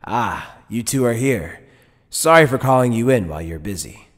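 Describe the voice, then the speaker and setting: A man playing an easygoing but shrewd middle-aged head captain in an anime fan dub, speaking in a measured, wry, casual way. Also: medium pitch, animated, close to an everyday voice. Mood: unhurried. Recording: long hall reverb.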